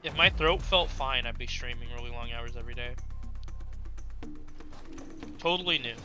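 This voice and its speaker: A man streaming a game, chatting casually with his chat while his voice is going hoarse from long streams. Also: medium pitch, moderate pace, over music. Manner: rambling, chatty, talks back to chat. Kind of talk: casual gaming stream commentary.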